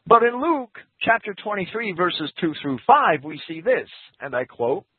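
Male scripture teacher, husky, animated pitch, dry thin radio sound. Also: unhurried, no room sound. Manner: lecturing, reads quotes aloud, lively pitch. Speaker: Bible teacher. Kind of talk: scripture commentary talk. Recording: tinny phone-like radio broadcast.

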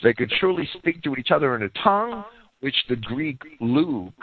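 A man's voice: mid-range voice, big pitch swings, moderate pace. A man giving a lecture-style radio talk that explains and cites sources at length, often repeating words as he restarts. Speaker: radio program speaker answering critics. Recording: tinny phone-line radio audio.

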